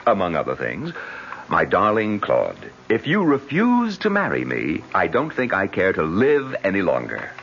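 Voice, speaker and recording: Proud debt-ridden viscount in an old radio drama, low voice, muffled recording. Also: male voice, huge pitch swings, normal speed, gravelly, fairly bright tone, a little hiss or hum, full character voice. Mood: smug. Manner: haughty, theatrical. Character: proud, indignant French viscount, a reckless debt-ridden gambler.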